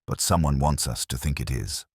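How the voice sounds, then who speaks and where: A man voicing a grim, shrewd detective in an audiobook, speaking in a grave, incisive, deliberate manner. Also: very deep voice, husky, dry recording, studio quality. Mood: grim, urgent. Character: grim, shrewd male detective, coolly commanding. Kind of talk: audiobook character dialogue.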